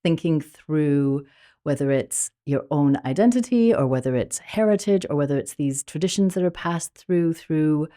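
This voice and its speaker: A woman's voice: low voice, expressive, moderate pace, warm tone. A woman hosting an interview, asking curious, musing questions that she rephrases as she goes. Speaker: podcast host.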